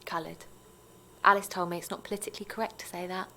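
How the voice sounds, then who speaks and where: A woman acting a tired, placating mother with quiet determination in a naturalistic audio drama. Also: lowish voice, fairly even intonation, fast talker, vocal fry, a little hiss or hum. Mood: hesitant.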